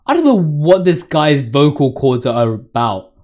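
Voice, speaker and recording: High-pitched man, hyperbolic gaming commentary. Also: big pitch swings, unhurried, darkish tone, lo-fi sound. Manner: hyperbolic, emphatic, fanboyish. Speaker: gaming video host. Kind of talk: casual, slangy fan commentary on game bosses.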